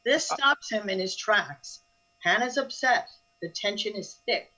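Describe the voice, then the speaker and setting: A woman's voice: mid-range voice, lively intonation, unhurried, sharp tone, poor audio. A woman as a composed storyteller, reading scripted narration of the action in a radio drama.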